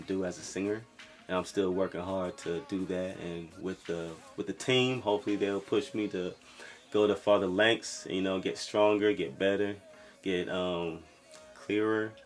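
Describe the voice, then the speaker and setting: A young man from Brooklyn, New York, a singer-songwriter talking casually about his music with a soft voice and lots of "you know"s. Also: low-pitched. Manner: relaxed, rambling, lots of fillers like "you know".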